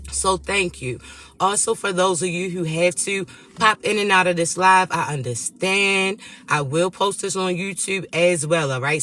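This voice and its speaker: A man with a raspy voice hosting a livestream spiritual reading, speaking slowly and affectionately and checking in with listeners often. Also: high voice, pushed and bright. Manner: affectionate, addresses listeners as chosen ones and babies; slow, deliberate delivery with frequent check-ins.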